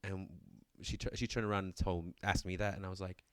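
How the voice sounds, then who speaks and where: A man's voice: low-pitched, level tone, a little fast, no echo. A man in his thirties co-hosting a casual podcast chat, rambling and restarting his sentences, often laughing. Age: 30s. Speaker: podcast co-host.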